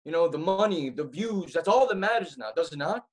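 An actor plays a young man in his twenties pleading with his roommate in a dramatic monologue. His husky voice swings widely in pitch. He is worried and exasperated, stumbling and repeating his words. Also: animated, a little fast. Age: 20s. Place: small room indoors at home. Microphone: webcam at arm's length.

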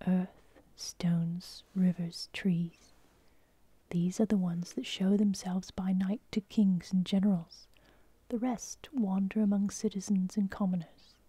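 A woman narrating a classical myth in a soft, slow unvoiced whisper, ASMR style, close to the mic. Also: mid-range voice, fairly even intonation, breathy edge, no room sound.

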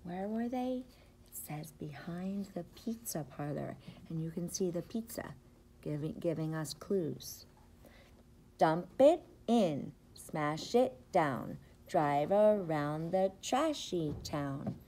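A middle-aged woman, a preschool teacher, reads a picture book aloud to young children with expressive, rhythmic, sing-song phrasing. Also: lowish voice, takes it slowly, a little hiss or hum. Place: indoors, school classroom. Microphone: device camera at about arm's length.

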